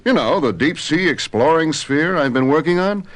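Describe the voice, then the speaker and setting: A man's voice: on the high side, lively intonation, normal speed, close to an everyday voice. An actor playing a genial, confident scientist father, assured and fatherly, in a cartoon adventure audio drama. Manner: assured, fatherly, clearly enunciated.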